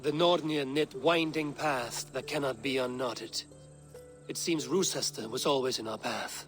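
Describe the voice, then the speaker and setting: A man voicing a commanding, fatalistic Viking warrior in a video game, measured and terse. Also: mid-range voice, a bit bright, soft background music, natural voice. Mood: grave, resolute. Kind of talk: video game story acting.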